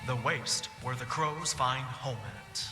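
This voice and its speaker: A man playing a brooding bard and former royal mage advisor in a fantasy game, speaking in measured, solemn, poetic phrases. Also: medium pitch, close to an everyday voice. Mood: grim, reflective. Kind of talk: fantasy game roleplay dialogue. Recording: echoey hall, music playing behind.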